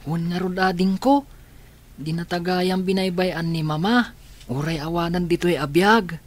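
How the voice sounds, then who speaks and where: A performer playing a gentle, consoling older orphaned brother in a radio drama. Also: mid-range voice, steady tone, unhurried, close to an everyday voice. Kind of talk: radio drama acting in Ilocano.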